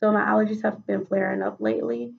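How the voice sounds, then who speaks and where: A woman with a raspy voice answering questions as a patient in a role-played clinical interview, hesitant with many fillers and restarts. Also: medium pitch, monotone, quick pace, muffled recording. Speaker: mock patient in a role-play case study.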